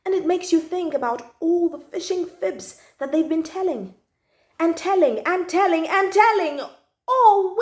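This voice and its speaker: A woman reading a children's story aloud in an expressive, emphatic manner, drawing out key words and adding little asides to listeners. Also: sits high, roomy sound. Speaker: storytime channel host reading children's books.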